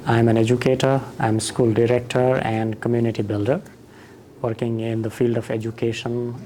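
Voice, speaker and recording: A man's voice: mid-range voice, warm tone, slight background noise. A man, a school director telling his story in a conference interview, thoughtful with fillers and restarts. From Nepal.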